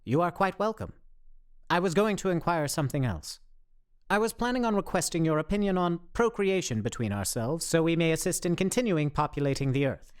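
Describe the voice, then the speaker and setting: A man's voice: a little high, big pitch swings, fairly quick, clear, resonant tone. A man playing an earnest, socially awkward survivor in a radio play, speaking in stiff, wordy, over-precise phrases. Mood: earnest, formal. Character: earnest, socially awkward, overly formal male zombie survivor.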